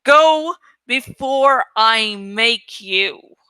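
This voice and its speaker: A woman voicing a gruff, surly, calculating male village butcher in a storybook reading, curt and impatient. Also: fairly high pitch, expressive, drawn-out words, sharp tone, dry recording, voice pushed down, full character voice. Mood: angry, threatening.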